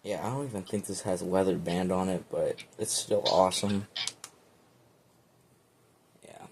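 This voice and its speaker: Man, low creaky voice, slangy, rambling product commentary, clearly noisy home recording. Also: fairly even intonation, a little slow, darkish tone. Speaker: amateur video reviewer. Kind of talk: casual unboxing commentary.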